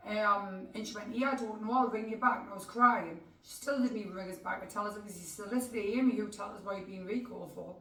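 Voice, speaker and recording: A woman's voice: medium pitch, fast, hardly any pauses, fairly bright tone. A woman from North East England, speaking frankly and with feeling as a podcast guest. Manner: emotional, frank. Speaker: guest, host's wife. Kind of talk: casual podcast chat. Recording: echoey room, distant mic.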